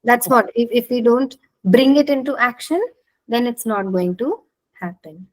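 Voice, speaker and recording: A woman's voice: fairly high pitch, measured pace, a little mellow, rough recording. An Indian woman from Maharashtra, a native Marathi speaker, giving a conversational, encouraging online talk and inviting participants to respond. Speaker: speaker leading an interactive talk, clinical psychologist. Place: online video call.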